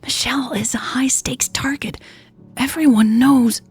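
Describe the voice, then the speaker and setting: Woman reader as soft, guilty, pleading woman, husky. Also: high-pitched, fairly even intonation, a little slow, soft background music, pitched above the performer's own voice, exaggerated voice. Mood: anxious, confessing. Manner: soft, hesitant, breathy confessing. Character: guilty, tearful, pleading woman defending herself to lover. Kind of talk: audio fiction dialogue reading.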